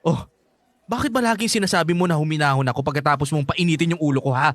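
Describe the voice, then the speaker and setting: A man's voice: fairly high pitch, fast talker, no echo, lightly acted. A man acting a bitter, hot-headed grown son in a Filipino radio drama, confrontational and sarcastic. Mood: angry, scornful.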